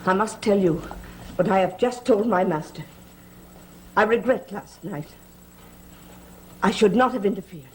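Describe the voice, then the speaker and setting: A woman's voice: medium pitch, a little slow, long pauses, darkish tone, a little hiss or hum, close to an everyday voice, poor audio. Solemn gothic servant woman, played by an actress. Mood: submissive. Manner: solemn, formal, submissive. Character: devoted, scheming female servant of a gothic manor. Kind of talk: 1960s gothic soap opera acting. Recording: old TV soundtrack with background score.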